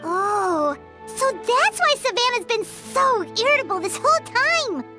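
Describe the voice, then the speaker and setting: Super squeaky little companion critter, gushy and bouncy, game music loud behind. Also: female voice, very animated, unhurried, exaggerated voice. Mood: surprised. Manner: squealing, gushing. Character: tiny chirpy floating companion creature, excitable. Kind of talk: game cutscene voice acting.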